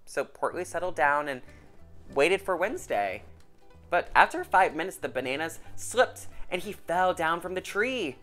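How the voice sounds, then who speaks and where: A woman reading a children's storybook aloud in an animated storytelling style. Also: lowish voice, normal speed, faint music behind.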